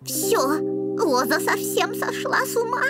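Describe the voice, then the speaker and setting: A woman's voice: very high voice, sing-song, a little slow, loud music behind the voice, exaggerated voice. A woman voicing a bossy, sarcastic little dog girl in a cartoon, snippy in manner. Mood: smug.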